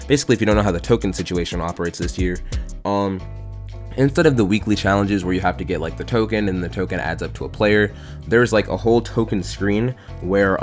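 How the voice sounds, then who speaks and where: A young man giving casual voice-over commentary on his game footage, rambling with lots of fillers and restarts. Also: deep voice. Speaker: gaming YouTuber.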